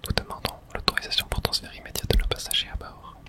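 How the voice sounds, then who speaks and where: Someone whispering in a hushed, unvoiced way through an ASMR video game playthrough, reading dialogue aloud. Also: quick pace.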